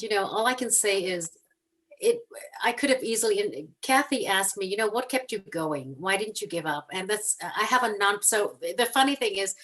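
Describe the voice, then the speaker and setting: Woman guest author from Hong Kong, earnest and animated, mid-pitched, video call audio. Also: low-quality recording. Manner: earnest, animated storytelling with frequent ums. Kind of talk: casual chat on a video call.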